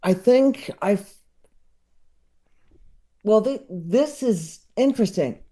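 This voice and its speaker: A man with a soft, mellow voice chats casually as a podcast guest. He takes his time, halting often, and sounds enthusiastic yet self-deprecating, tending to chuckle as he talks. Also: sits high, expressive.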